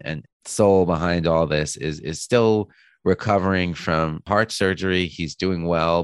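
A hoarse man with a cold, hosting a podcast from his home studio, talking in an earnest, thoughtful way with frequent restarts. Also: low voice, dry recording. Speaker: podcast host. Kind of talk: podcast introduction.

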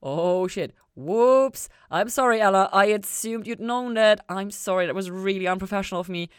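A woman's voice: medium pitch, moderate pace, smooth, no echo, clean studio sound, voice pushed up, natural voice. A woman reading audiobook-style dialogue as a reassuring, frank therapist friend, confiding and emphatic. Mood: apologetic, firm.

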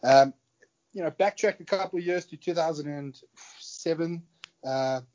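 A man's voice: on the high side, moderate pace, a little mellow, lo-fi sound. A South African man in his thirties, a guest on a remote call, telling his story in a chatty way and chuckling often. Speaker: guest, security contractor. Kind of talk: casual interview storytelling.

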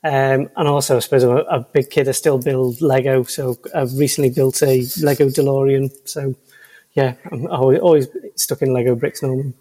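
A man's voice: mid-range voice, little pitch movement, moderate pace, mellow, poor audio. Liverpool-accented man, hesitant and chatty. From Liverpool, England. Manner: hesitant, many ums and restarts. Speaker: podcast guest, senior consultant. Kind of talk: casual interview chat.

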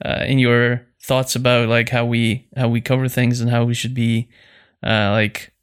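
A man co-hosting a podcast in casual, unscripted talk, halting and often restarting his phrases. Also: mid-range voice, level tone, clean tone. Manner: halting, with repeated false starts. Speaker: podcast co-host and producer.

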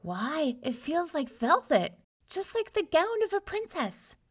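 A woman acts out a polite young farm boy from a storybook. He sounds earnest and hopeful, with lively, varied intonation. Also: a little high, normal speed. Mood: surprised. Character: polite, hopeful young farmer's son seeking a sweetheart. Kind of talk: children's storybook character voice.

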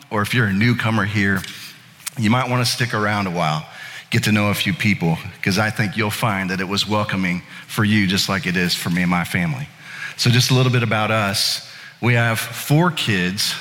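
A man's voice: on the low side, fairly bright tone. A man in his late thirties from Indiana, a pastor telling a folksy, self-deprecating story in a casual sermon. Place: church sanctuary. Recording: church hall with long reverb.

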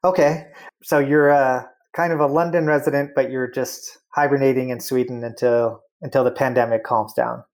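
A man hosting an interview segment, chatty and friendly, full of fillers and quick follow-up questions. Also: medium pitch, fairly even intonation, a little mellow. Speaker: interview host. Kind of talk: casual interview.

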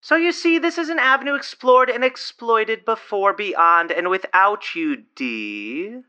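A man's voice: sits high, lively intonation, measured pace, sharp tone, clear, resonant tone, dull audio, heavily acted. A man acting a pompous, evasive doctor in an audio drama, formal in speech and hesitant when questioned. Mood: haughty dismissal.

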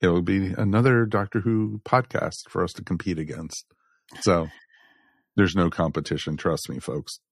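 A man's voice: deep voice, normal speed, husky, no echo, studio quality. An American man from the Southeast co-hosting a podcast, chatting casually and addressing listeners in a folksy way. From southeastern United States. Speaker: podcast co-host. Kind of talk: casual chat.

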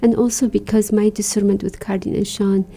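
A woman from Iraq, a religious sister, talking in an interview with a very dark, gravelly voice that sounds slightly husky. Her delivery is earnest and reflective, full of soft fillers and small pauses. Her pitch stays flat and even. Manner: reflective, earnest, many fillers like "you know". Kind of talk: conversational interview.

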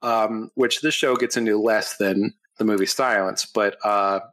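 A man's voice: on the low side, steady tone, measured pace, a bit bright, rough recording. A man in casual podcast chat, explaining at length with plenty of ums and uhs. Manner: explains history at length with many fillers. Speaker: podcast co-host.

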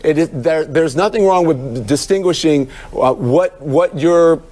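An American man argues as the host in a televised panel debate. His voice sits a little high for a man. He is insistent and emphatic, returning to the same point again and again. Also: measured pace, darkish tone.

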